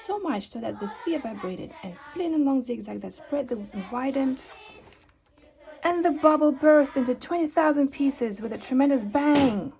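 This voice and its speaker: Children's book narrator, woman, soft mellow tone with some fry, theatrical emphasis, slight background noise. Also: high voice, expressive. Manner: dramatic emphasis and pauses. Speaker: storybook reader. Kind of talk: expressive children's read-aloud narration.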